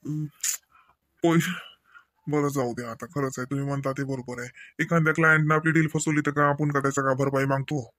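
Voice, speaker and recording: A man's voice: mid-range voice, fairly even intonation, a little fast, fairly bright tone, dry recording, clean studio sound, natural voice. A man playing an exasperated young husband in a cartoon, grumbling indignantly in a Vidarbha Marathi accent. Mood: resigned grumbling. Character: exasperated young husband venting to his sister-in-law. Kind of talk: cartoon dialogue in rural dialect.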